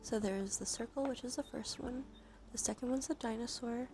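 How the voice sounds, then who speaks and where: A woman streaming ASMR, talking softly and breathily into a close microphone, gently repeating phrases to her live chat. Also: fairly high pitch, noisy background. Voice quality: whispery, breathy. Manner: gentle, slow repetition of phrases. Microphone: close sensitive ASMR microphone. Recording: soft music, crinkling behind. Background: music, crinkling and brushing sounds.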